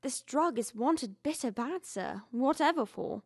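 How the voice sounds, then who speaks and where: Actress doing a frightened loyal servant lady, high voice, very insistent, really dry recording, audio drama style. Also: animated, unhurried, breathy edge, dry recording, exaggerated voice. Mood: urgent, aggrieved. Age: young adult. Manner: earnest, deferential, agitated.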